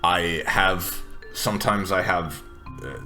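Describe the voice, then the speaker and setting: Guarded tabletop game heroine, played by a man, halting, very low pitch, forceful edge. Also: soft background music, exaggerated voice. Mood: hesitant. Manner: halting, hesitant. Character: guarded, hesitant tech-savvy woman seeking help.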